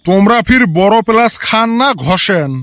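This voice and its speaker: A man's voice: sits high, moderate pace, fairly bright tone, no room sound. A man voicing a stingy, grumpy village father in a comedy cartoon, blunt and grumbling with a northern Bengali Rangpuri accent. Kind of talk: comic cartoon dialogue.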